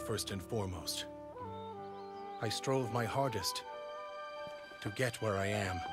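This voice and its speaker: An actor playing a noble, idealistic warlord in a video game, speaking in a solemn, earnest way. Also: male voice, fairly low pitch, natural voice. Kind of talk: English-dubbed video game cutscene acting.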